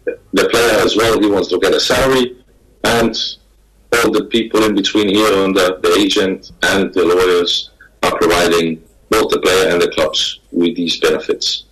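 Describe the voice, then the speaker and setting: A man from Denmark, speaking as a guest on a panel over a video call, halting and repeating words as he talks. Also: sits low, steady tone, fairly bright tone, rough recording. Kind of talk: remote panel talk.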